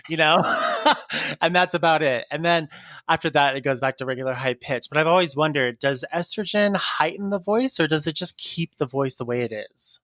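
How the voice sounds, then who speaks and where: Male podcast co-host, slightly high voice, laughs a lot, casual. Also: no room sound. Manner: laughs often, chatty and animated. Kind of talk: casual interview chat.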